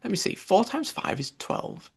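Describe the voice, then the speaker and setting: A man's voice: moderate pace, lightly acted. A man voicing an anxious, chattering young girl from a storybook, musing fretfully to herself. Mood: puzzled, fretful. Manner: muses aloud to self, fretful. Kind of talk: storybook character voice.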